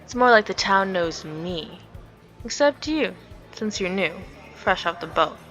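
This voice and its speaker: Smug, flirty barfly charmer played by a woman, teasing drawl, bright tone, visual novel game character. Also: mid-range voice, animated, a little slow, soft background music, low-quality recording. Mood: smug, playful. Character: smug, flirty, teasing male bar regular.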